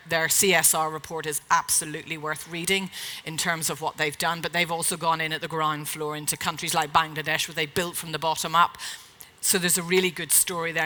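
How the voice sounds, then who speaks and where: A middle-aged woman consultant giving a chatty conference talk in a loud, projecting voice, with frequent fillers and self-corrections. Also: deep voice. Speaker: retail consultant speaker. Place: event hall.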